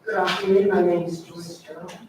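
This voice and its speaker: A woman's voice: lowish voice, fairly even intonation, a little fast. An older woman reads her prepared statement earnestly at a public hearing. She sounds far from the microphone in a strongly echoing meeting room, with faint background noise. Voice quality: soft-voiced. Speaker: school board representative addressing a legislative delegation.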